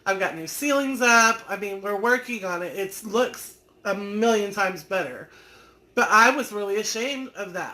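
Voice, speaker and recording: A woman's voice: mid-range voice, bright, forceful tone. A woman, hosting a live stream, chatting folksily and teasing viewers by name as she reads their comments. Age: 40s. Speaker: online business community host.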